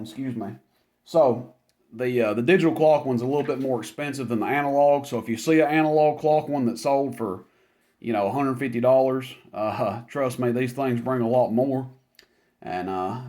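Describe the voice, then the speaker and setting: A man's voice: medium pitch, darkish tone. A man giving a casual product demonstration as a seller, talking informally and rambling through each step as he goes.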